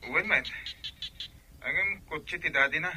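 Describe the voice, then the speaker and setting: Anxious young student, piercingly bright, higher male voice, radio drama, music behind. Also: measured pace, slight background noise. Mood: concerned. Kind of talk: Ilocano radio drama acting. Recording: music bed under dialogue.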